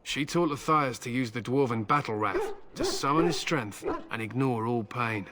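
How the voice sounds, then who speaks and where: An actor playing a proud, gruff fantasy warrior in a video game, speaking formally and solemnly. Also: male voice, mid-range voice, very animated, normal speed, lightly acted. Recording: loud noise behind voice.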